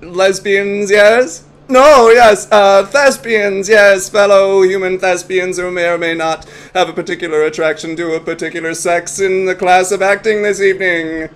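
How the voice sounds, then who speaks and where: A man's voice: high voice, steady tone, moderate pace, few pauses, a bit bright, clean tone. A man playing an eager, naive alien posing as a human in a comedy sketch, pompous and over-formal, often muddling his words. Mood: awkward, formal. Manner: stiff over-formal wording, muddles words and corrects self. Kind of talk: comedy audio sketch acting.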